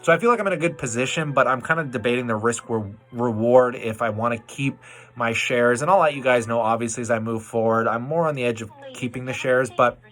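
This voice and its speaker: Casual man hosting a livestream, mid pitch. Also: fairly quick, a bit bright. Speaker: livestream host, amateur investor.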